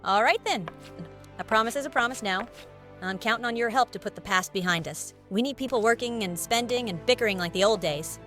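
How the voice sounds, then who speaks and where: An actress playing a brisk, plain-spoken innkeeper with a British accent and a frank, chatty manner in a video game. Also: female voice, on the high side, fairly bright tone, soft background music, natural voice. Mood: welcoming, businesslike. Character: brisk, plain-spoken woman innkeeper running an adventurers' guild. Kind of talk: scripted video game cutscene acting.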